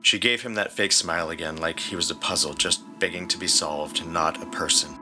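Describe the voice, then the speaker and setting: Low-voiced man narrating dramatic fiction. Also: steady tone, a little fast. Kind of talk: dramatic scripted story narration. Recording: prominent music bed.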